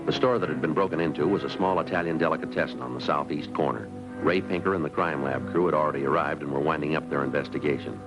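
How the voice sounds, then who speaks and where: Very deep, terse American police detective. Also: male voice, quick pace. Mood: flat narration. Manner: deadpan, matter-of-fact, dry. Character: terse, weary police detective sergeant, male, middle-aged. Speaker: lead detective and narrator. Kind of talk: clipped 1950s police drama acting and narration. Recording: muffled 1950s TV soundtrack, music behind.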